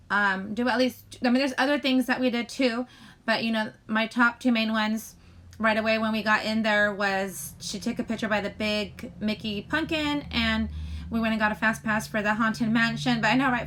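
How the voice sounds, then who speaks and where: A woman hosting a casual live chat, rambling to viewers in a halting way, often breaking off mid-sentence. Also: a little high, sharp tone. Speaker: live stream host chatting with viewers.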